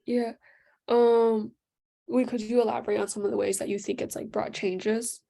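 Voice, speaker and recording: A woman's voice: on the high side, little pitch movement, moderate pace, creaky, dark tone. A teenage young woman moderating an informal discussion in a casual way, with many fillers. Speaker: forum moderator.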